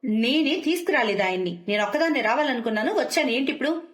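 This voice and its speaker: A woman's voice: high-pitched, lively intonation, quick pace, echoey room. A woman voicing a hot-tempered, defiant married younger sister in a story, snappy and argumentative.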